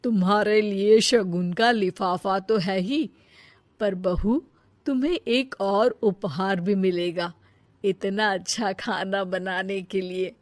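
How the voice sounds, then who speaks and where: A gushing, generous older aunt from a cartoon family tale, put on by a woman. She speaks effusively at a slow pace, recorded dry and close. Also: mid-range voice, steady tone, no echo, pitched below the performer's own voice, natural voice.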